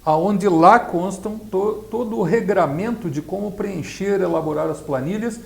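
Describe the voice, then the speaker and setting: Brazilian guy explaining stuff in an interview, kinda gravelly, teacherly, roomy echo. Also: high voice, unhurried. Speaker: accountant and project specialist being interviewed. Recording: echoey, roomy sound.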